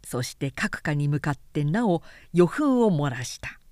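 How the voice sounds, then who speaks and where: Woman narrator, measured and very dry sound. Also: low-pitched, very animated, mellow, no echo. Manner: measured, formal storytelling. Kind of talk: scripted literary audiobook narration.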